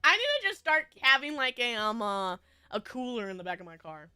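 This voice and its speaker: Stuffy-nosed woman streamer, high and lively, giggly rambling chat. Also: fairly quick, smooth, dry recording, clean studio sound. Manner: bubbly, rambling, frequent giggles. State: stuffy nose. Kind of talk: casual chat with viewers.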